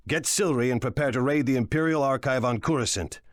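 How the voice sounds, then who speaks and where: An actor playing a cunning, ruthless crime lord in a video game, speaking in a measured, condescending and deliberate way. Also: male voice, medium pitch, no room sound, clean studio sound, close to an everyday voice. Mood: calculating, commanding. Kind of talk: dramatic video game voice acting.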